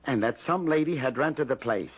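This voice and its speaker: An actor playing a desperate, hapless husband in an old-time radio drama, pleading and protesting in plain working-class speech. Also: male voice, medium pitch, lively intonation, moderate pace, husky. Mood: anxious, earnest. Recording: muffled vintage radio recording.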